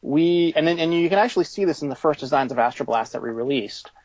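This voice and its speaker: A man answering informally as an interviewed toy designer, halting as he thinks aloud, on a slightly lo-fi recording. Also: a little high, lively intonation, a little mellow, no room sound.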